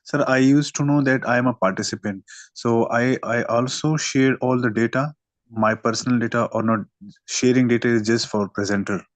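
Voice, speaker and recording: A man's voice: mid-range voice, steady tone, moderate pace, no room sound. A man from Pakistan, a seminar participant politely asking a question over a video call. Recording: quiet, distant call audio.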